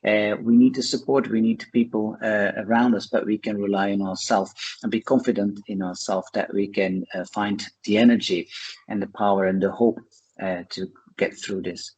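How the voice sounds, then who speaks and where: A man from Belgium with a Flemish accent, a Dutch speaker, talking as a guest in an interview, pausing often with fillers. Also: on the low side, flat delivery, darkish tone, breathy edge, low-quality recording. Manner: hesitant, many uh and um fillers. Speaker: guest, charity founder. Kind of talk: conversational interview.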